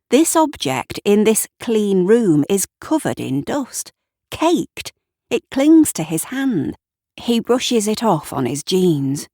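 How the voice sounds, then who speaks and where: A woman narrating scripted fiction in the present tense with expressive, deliberate storytelling. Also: fairly low pitch, dry recording, clean studio sound.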